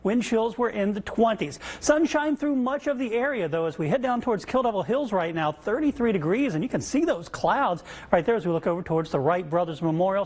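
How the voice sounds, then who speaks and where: A man's voice: high voice, a little mellow. A man presenting a live weather forecast as a television meteorologist.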